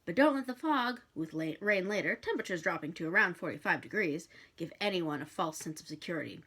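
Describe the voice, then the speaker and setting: A woman's voice: lowish voice, lively intonation, brisk, sharp tone, breathy edge. A woman reading a novel aloud expressively, with a wry delivery and the occasional stumble over a word.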